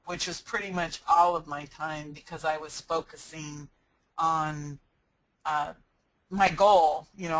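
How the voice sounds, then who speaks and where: Casting: earnest business coach, loose live Q&A, rambling delivery, mid pitch. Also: a bit bright, rough recording. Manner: rambling, frequent restarts and fillers. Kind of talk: casual live Q&A talk.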